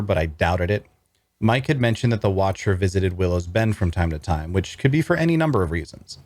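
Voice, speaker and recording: Man acting a low-voiced brooding fantasy narrator. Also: dry recording. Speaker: voice actor reading narrator. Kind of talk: first-person audiobook-style narration at a table read.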